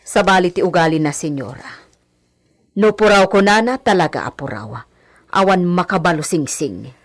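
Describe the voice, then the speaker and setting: Woman playing a husky, animated maidservant. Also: fairly low pitch, normal speed, no echo, clean studio sound. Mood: emphatic. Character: female servant confidante, deferential and gossipy. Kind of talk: radio drama acting.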